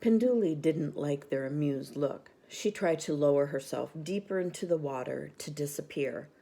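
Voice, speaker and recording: A woman reading a children's bedtime story aloud with expressive narration. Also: low-pitched, normal speed, gravelly, slight background noise.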